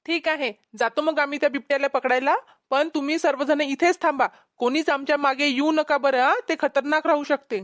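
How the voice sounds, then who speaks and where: A woman's voice: high-pitched, steady tone, brisk, natural voice. A woman with a Vidarbha Marathi accent voicing an officious, suspicious rescue worker who questions and instructs, in a cartoon comedy. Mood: businesslike. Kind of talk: amateur cartoon comedy voice acting.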